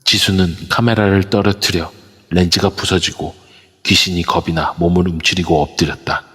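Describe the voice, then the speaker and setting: A man narrating an amateur audio drama in a steady storytelling manner. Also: low voice, normal speed, slightly rough. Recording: long reverb over a voice app.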